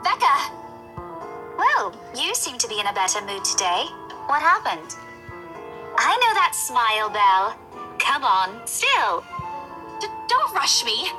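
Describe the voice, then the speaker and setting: A woman playing a cheerful, eager young woman in a visual novel game, with an animated, excitable manner. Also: fairly high pitch, slow, pauses often. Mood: cheerful, excited. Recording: music behind the voice. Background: background game music.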